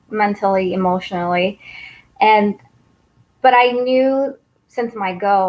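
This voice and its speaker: A woman's voice: medium pitch, low-quality recording. A woman raised in Taiwan whose first language is Mandarin, answering interview questions in an earnest way and laughing easily. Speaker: guest, business founder.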